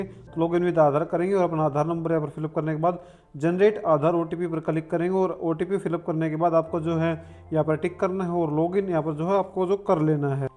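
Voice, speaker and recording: High-pitched man giving instructions. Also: darkish tone. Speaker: online form tutorial presenter. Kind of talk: step-by-step screen tutorial narration.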